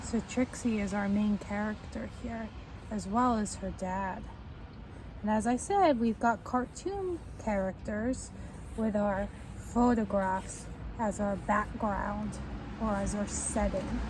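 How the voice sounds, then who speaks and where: A young woman reading a children's book aloud in a deliberate, expressive way, explaining and asking the listeners questions. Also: medium pitch. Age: young adult. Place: outdoors on a front step by a house door. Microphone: camera at a short distance. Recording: faint outdoor street noise.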